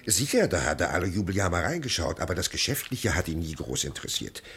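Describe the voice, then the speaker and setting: An actor playing a gossipy, self-satisfied small-town innkeeper who chats confidingly, in a 1980s German radio crime drama. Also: male voice, low voice, very animated, fast talker, a bit bright, slightly rough, heavily acted. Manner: chatty, confiding.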